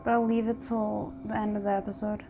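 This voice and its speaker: A woman's voice: medium pitch, little pitch movement, fast, over music. A woman hosting a reaction video, thinking aloud in casual commentary and often addressing the characters directly.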